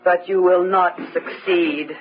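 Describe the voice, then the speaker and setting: A woman playing a bitter, dying wife who taunts in a husky, drawn-out, theatrical gothic radio style. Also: on the low side, steady tone, a little hiss or hum. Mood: weak, reproachful. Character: bitter dying wife, venomous, haunting, mocking. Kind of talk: old-time gothic radio acting. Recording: dull vintage radio recording, music behind.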